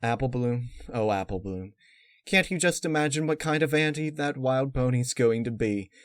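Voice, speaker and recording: A man's voice: mid-range voice, expressive, normal speed, no echo, studio quality, lightly acted. A man voicing an earnest, bookish young mare, a reassuring friend, in a story reading.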